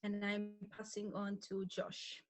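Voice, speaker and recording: A woman's voice: mid-range voice, monotone, a little slow. A woman from Poland with a Polish accent, a doctoral student introducing herself hesitantly on a video call. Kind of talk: self-introduction.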